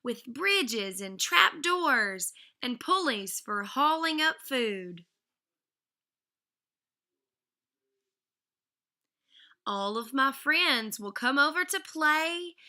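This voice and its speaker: An eager, dreamy little storybook girl, played by a woman reader, bubbling over with big ideas. The voice is high and bright, and she takes her words slowly. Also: full character voice. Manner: excitable, gushing. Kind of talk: children's storybook character reading.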